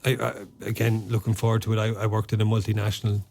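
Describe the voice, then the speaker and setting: A man's voice: on the low side, monotone, fast, gravelly, darkish tone. An Irish man talking as a podcast guest in a casual interview, often stopping and restarting his sentences with fillers. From Ireland. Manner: hesitant, many fillers and restarts. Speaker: podcast guest, former soldier.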